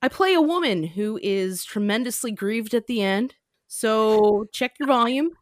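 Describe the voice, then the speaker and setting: Woman host, casual chat, self-deprecating humor, fairly high voice, dry sound. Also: lively intonation, a little slow, no room sound. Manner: chatty, self-deprecating, laughing often. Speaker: podcast host.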